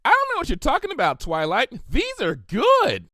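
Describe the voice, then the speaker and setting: A man's voice: extremely high pitch, huge pitch swings, moderate pace, bright, forceful tone, dry recording, clean studio sound, pitched above the performer's own voice, exaggerated voice. A man voicing a cheerful, hyperactive, sweet-toothed young female cartoon pony in a bubbly, gushing, rambling way for a fan fiction reading. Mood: giddy.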